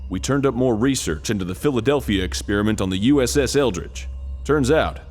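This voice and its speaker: An actor playing an earnest, dim-witted military commander in a comic video game, briefing with solemn seriousness. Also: male voice, mid-range voice, brisk, darkish tone, natural voice. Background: game music.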